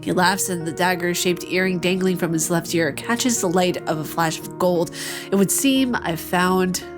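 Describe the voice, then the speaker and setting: A woman's voice: lowish voice, moderate pace. Playful woman reading a game aloud. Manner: playful, joking asides between narrated passages, frequent laughs. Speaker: let's play host. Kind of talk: reading a visual novel aloud with commentary. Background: game music.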